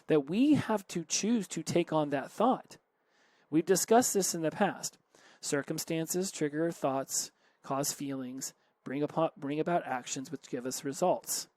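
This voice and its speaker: A man hosts a solo self-help podcast in an earnest, conversational way, often stopping to correct himself. His voice sits a little high for a man. The recording is close and dry, with no room echo. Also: a little mellow. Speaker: podcast host.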